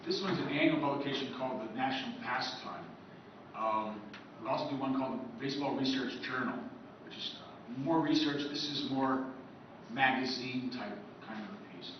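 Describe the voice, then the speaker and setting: Author at a convention seminar, man, casual lecture, distant mic in a big echoey room, muffled with steady hiss. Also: mid-range voice, moderate pace. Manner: rambling, listing items, friendly asides to the audience. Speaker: author giving a convention talk. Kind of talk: informal lecture to a live audience.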